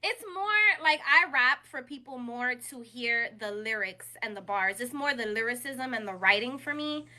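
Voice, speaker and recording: Woman, 30s, New York accent, high pitch, bright voice, animated storytelling guest. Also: moderate pace, few pauses. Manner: animated, quotes herself in lively asides. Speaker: guest, rapping poet. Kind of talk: casual interview chat.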